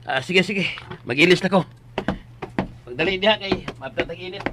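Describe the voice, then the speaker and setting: A man plays a humble Filipino farmer father in a Cebuano radio drama. His voice sits a little high for a man, pleading and fussing in an expressive way. There is faint noise behind him. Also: moderate pace, lightly acted. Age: middle-aged. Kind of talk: Philippine radio drama acting.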